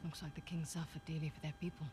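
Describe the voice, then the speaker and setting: No-nonsense female adventurer in her thirties played by an actress, very fast, terse delivery, cinematic game, clear ambient noise. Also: deep voice, level tone, lightly acted. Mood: curious. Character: no-nonsense, steady woman adventurer, a loyal partner. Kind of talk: naturalistic cinematic game acting.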